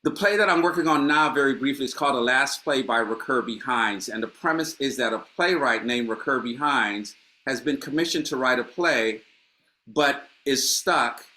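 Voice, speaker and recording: A man's voice: medium pitch, pushed and bright. A middle-aged man from Honduras, a playwright speaking reflectively in a panel conversation, with many restarts and fillers.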